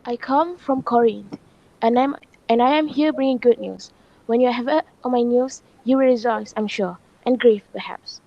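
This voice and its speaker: A woman's voice: a little high, normal speed, gravelly, lo-fi sound. A young woman playing a humble old woman messenger of ancient Greece in an amateur radio drama. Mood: solemn, polite. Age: young adult. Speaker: student actor.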